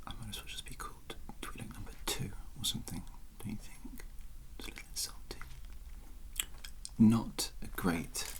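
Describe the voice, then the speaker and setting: A woman playing an aloof, sardonic female alien pilot in a soft, breathy whispered ASMR roleplay. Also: a bass voice. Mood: dryly offended. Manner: slow, deliberate, dryly sardonic.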